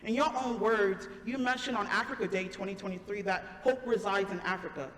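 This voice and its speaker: A young woman student from Ghana asks a polite question from the audience, talking quickly and a little nervously. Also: medium pitch, fairly bright tone. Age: young adult. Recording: echoey hall with faint noise.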